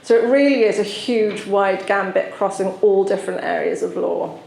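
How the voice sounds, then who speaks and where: A woman from South Wales with a Welsh accent, giving a conference talk as a solicitor and explaining with frequent pauses. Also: medium pitch, vocal fry, darkish tone, echoey room, slight background noise, poor audio. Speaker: tree law solicitor presenting. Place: conference venue.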